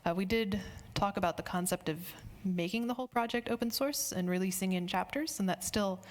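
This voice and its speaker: A woman's voice: medium pitch, a little fast. A woman speaker answering audience questions after a conference talk, conversational and friendly, with some fillers. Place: conference hall.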